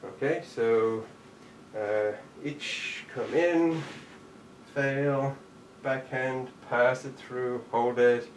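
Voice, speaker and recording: A man's voice: mid-range voice, fairly even intonation, extremely slow. A man teaching a jujitsu class online, talking through each move as he demonstrates it and calling out the steps. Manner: demonstrating moves while talking, counting out steps. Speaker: jujitsu instructor. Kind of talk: live online martial arts class. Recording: echoey room, distant mic, faint background noise.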